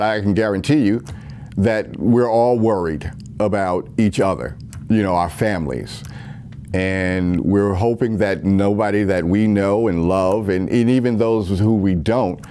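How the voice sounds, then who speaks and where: A man's voice: fairly low pitch, warm tone, a little hiss or hum. A man giving an earnest, rambling spoken monologue with many restarts, recorded at home.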